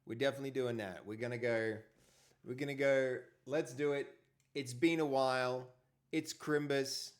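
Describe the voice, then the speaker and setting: A man hosting a casual live stream, talking with a big, loud voice and rambling, repeating himself. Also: mid-range voice, fairly even intonation, moderate pace.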